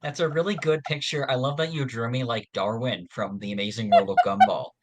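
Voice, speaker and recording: A man's voice: mid-range voice, steady tone, fairly quick. A man co-hosting a fan podcast in casual chat, with a dry, joking way of talking. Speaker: podcast co-host.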